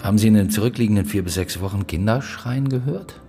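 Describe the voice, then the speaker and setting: A man's voice: fairly low pitch, fast, creaky, warm tone, faint music behind. An actor playing a weary, terse and brooding male police detective in a naturalistic radio drama, questioning and sometimes hesitant. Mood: probing, dry.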